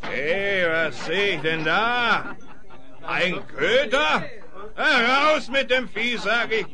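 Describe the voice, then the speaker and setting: A high-pitched, hot-headed cowboy bully swaggers through a western radio play. He takes his time over every boast and threat, with a noisy saloon crowd behind him. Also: animated, slow pace. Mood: boastful, aggressive. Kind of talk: 1970s German western radio play acting.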